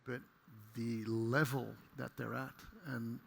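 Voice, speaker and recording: Measured man on a panel, faint noise. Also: mid-range voice, level tone, creaky, fairly bright tone. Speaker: panelist, systems implementer. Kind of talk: panel discussion.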